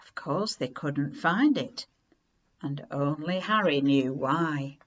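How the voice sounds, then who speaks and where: A woman reading a children's story aloud in an expressive, unhurried way with dramatic emphasis. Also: deep voice, lo-fi sound.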